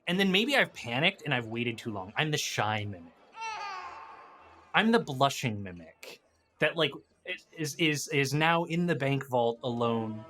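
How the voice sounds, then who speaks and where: A man's voice: mid-range voice, very animated, unhurried, a crowd in the background. A man chatting casually as a podcast guest, thinking aloud and telling improvised stories about game monsters.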